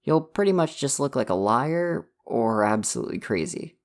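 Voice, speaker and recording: Someone acting a sweet video game boyfriend, asmr style, earnest comforting talk, medium pitch. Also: animated, moderate pace, close to an everyday voice. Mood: affectionate, slightly sheepish. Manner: soft-hearted, reassuring, earnest. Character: gentle, affectionate dating-sim boyfriend come to life. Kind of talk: ASMR roleplay, personal affirmation.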